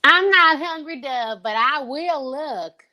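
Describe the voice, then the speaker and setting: A woman's voice: high-pitched, lively intonation, takes it slowly, a crowd in the background. A woman playing a cheeky painter sidekick who bickers and banters indignantly in an old-time radio detective parody. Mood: whiny, put-upon.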